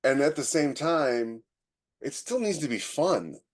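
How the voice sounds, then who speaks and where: A man's voice: fairly high pitch, animated, unhurried. An American man hosting a podcast in casual chat, opinionated and given to illustrating his points with anecdotes. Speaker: podcast host.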